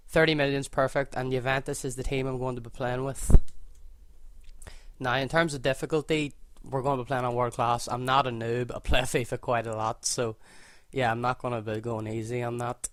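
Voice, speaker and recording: A Northern Irish man chatting over a football game in a level, even tone with little rise and fall. The sound is close and dry, with no room around his voice. He thinks out loud, restarts sentences and mends them as he goes. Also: mid-range voice, moderate pace, clear, resonant tone, no room sound. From Northern Ireland. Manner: relaxed, thinks aloud, self-correcting as he goes. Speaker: gaming video creator. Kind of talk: casual gameplay commentary.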